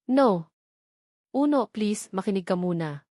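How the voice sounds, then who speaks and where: A pleading, wounded young woman , played by a woman narrator speaks imploringly in a Filipino accent. The audiobook recording is dry and close, her pitch in the middle. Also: animated, a little slow, warm tone, no room sound. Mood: desperate, pleading. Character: pleading, frightened young woman, rejected lover.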